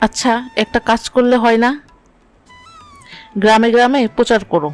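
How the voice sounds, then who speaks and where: A woman playing a concerned, practical village mother in a cartoon, offering measured advice. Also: a little high, faint music behind, natural voice. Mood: earnest, advising.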